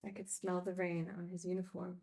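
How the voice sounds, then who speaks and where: A woman's voice: fairly low pitch, completely flat delivery, brisk, creaky, mellow. A woman playing a frank former addict confessing her regrets in a film table read. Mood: regretful, frank.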